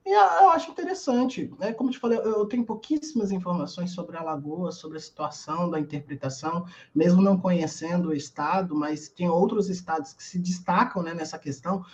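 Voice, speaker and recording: A man's voice: sits high, fairly quick, few pauses. Curious male host on dull audio. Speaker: podcast host, professor. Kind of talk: casual interview chat.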